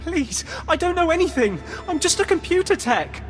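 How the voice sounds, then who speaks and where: A man's voice: very high voice, big pitch swings, moderate pace. A man playing a nervous, cowed Imperial computer technician in a video game, anxious, hesitant and pleading. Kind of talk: scripted video game acting. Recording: long reverb, game music behind.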